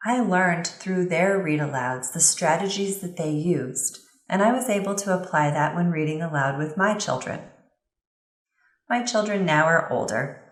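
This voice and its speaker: A woman with a fairly low voice interprets an instructional presentation as a voice-over. She explains in measured, clearly separated phrases. The sound is noticeably roomy and reverberant.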